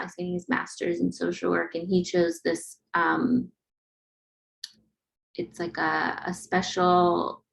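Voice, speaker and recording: A woman informally presenting her photography project at an online webinar, talking in a casual, halting way. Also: on the low side, vocal fry, poor audio.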